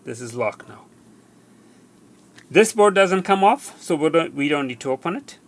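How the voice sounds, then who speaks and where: A man presenting a hands-on repair tutorial, explaining step by step in a halting way and repeating himself. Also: fairly high pitch, expressive. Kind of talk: hands-on how-to demonstration. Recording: faint background noise.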